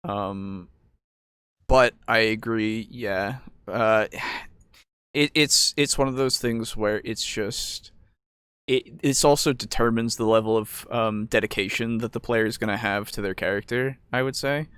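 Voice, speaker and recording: A man with a fairly low voice talks casually as a podcast co-host. He feels his way through each thought out loud, hesitating as he goes. The sound is dry and close, with no room to it. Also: steady tone, a little slow, smooth, no room sound. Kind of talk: casual discussion of tabletop roleplaying.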